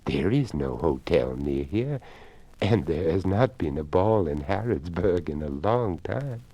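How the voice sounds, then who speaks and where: A man voicing an earnest, elderly deaf lady in a story, with a hushed, measured, genteel delivery. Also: low voice, lively intonation, no echo, muffled recording, exaggerated voice. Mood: gentle, kindly.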